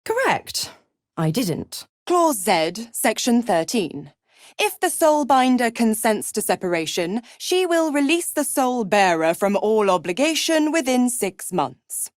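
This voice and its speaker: A woman's voice: fairly high pitch, very animated, unhurried, dry recording, clean studio sound. An actress voicing a gloating, seductive female devil in a video game, with a mocking, theatrical, condescending drawl. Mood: sly, commanding. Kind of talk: video game character acting.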